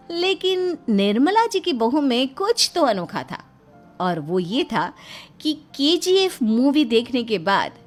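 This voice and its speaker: A woman reading scripted narration for a cartoon moral story. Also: a little high. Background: faint music.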